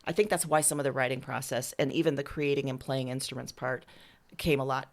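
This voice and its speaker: A woman's voice: a bass voice, fairly even intonation, brisk. A woman chatting casually as an interview guest, talking about her creative work in a candid, enthusiastic way. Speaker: writer and musician being interviewed.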